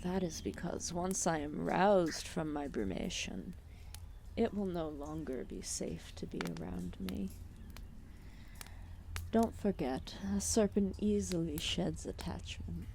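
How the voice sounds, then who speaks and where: A woman voicing an ancient, lethargic serpent goddess, protective and calmly menacing, in a formal, soothing, intimate ASMR roleplay with whispered phrases. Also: fairly low pitch, slow, gravelly, noisy background. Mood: calmly warning. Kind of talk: ASMR roleplay, intimate soft-spoken acting.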